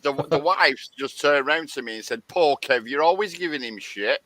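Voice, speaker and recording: Bright-voiced teasing man. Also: fairly high pitch, animated, normal speed, no echo. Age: 40s. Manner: teasing and cheeky, swears freely. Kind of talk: casual banter.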